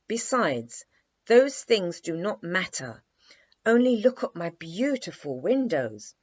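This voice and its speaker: A woman's voice: fairly low pitch, very animated, slow, pitched above the performer's own voice, exaggerated voice. A woman voicing a proud, touchy little boy prince in a storybook, earnest and self-important. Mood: defensive. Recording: dry, slightly dull lo-fi recording.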